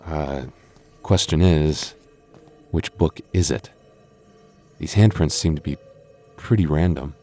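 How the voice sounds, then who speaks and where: A man's voice: rumbling low, slow pace, pauses often, warm tone, soft background music, exaggerated voice. A man voicing a playful, teasing young goth boyfriend in an ASMR roleplay, often stammering and chuckling. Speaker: voice actor.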